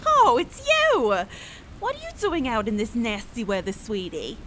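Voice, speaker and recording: A woman's voice: a little high, sing-song, a little slow, fairly bright tone, slight background noise. A woman voicing a doting, teasing big-sister wine goddess in a fantasy audio drama, with a sing-song, coddling manner. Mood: doting, cheerful.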